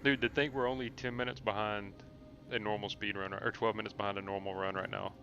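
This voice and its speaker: A man's voice: fairly low pitch, little pitch movement, quick pace, highs cut off, music in the background. A man giving casual gameplay commentary as a streamer, thinking aloud in an informal, slangy way. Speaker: game streamer.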